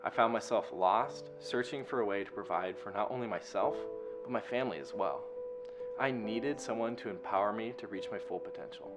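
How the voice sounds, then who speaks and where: Young male testimonial voice-over, low and earnest, over loud acoustic guitar. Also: fairly quick. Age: young adult. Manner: earnest, reflective. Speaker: commercial testimonial speaker. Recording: loud guitar music behind.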